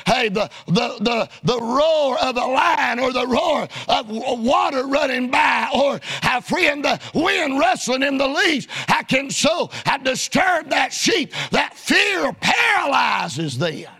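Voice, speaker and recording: A man preaching a fiery sermon in a church, shouting his lines and punctuating them with frequent amens. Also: very high-pitched, animated, slow, gravelly, hardly any pauses, breathy edge.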